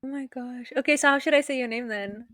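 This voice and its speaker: A woman's voice: high voice, fairly bright tone, dry recording, clean studio sound. Chatty eighteen-year-old South African woman. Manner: chatty, lots of "like", quick laughs. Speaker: podcast co-host. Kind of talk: casual chat.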